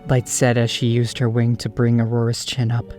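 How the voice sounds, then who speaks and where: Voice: mid-range voice, monotone, normal speed, darkish tone. A narrator reading scripted storybook prose for an audio drama, over music.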